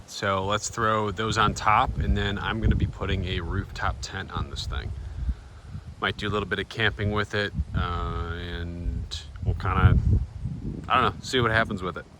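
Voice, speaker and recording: A man's voice: low-pitched, steady tone, moderate pace. An American man giving a casual, laid-back DIY walkthrough to camera in plain-spoken words. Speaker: vlogger showing DIY van upgrades. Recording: noisy handheld recording with vehicle ambience.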